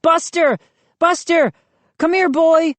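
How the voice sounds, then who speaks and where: A woman's voice: on the high side, measured pace, warm tone, no echo, pitched above the performer's own voice. A woman voicing an anxious but daring young boy in a storybook, with an urgent, exclaiming delivery. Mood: excited calling.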